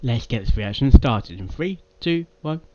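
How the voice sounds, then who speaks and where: A man hosting a reaction video, giving casual, halting commentary and repeating himself. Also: mid-range voice, lively intonation, muffled recording, very noisy.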